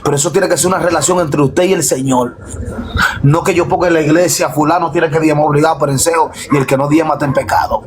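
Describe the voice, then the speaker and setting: A man's voice: on the high side, steady tone, fast talker. A man preaching informally as a pastor in a live discussion, emphatic and repetitive, over noisy live stream audio.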